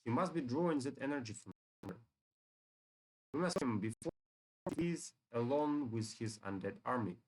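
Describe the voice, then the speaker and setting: A man's voice: mid-range voice, animated, moderate pace, pauses often, a little mellow, slight background noise, close to an everyday voice, low-quality recording. A man voicing a righteous, solemn dragon hero from a fantasy game in an earnest way. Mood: alarmed, resolute.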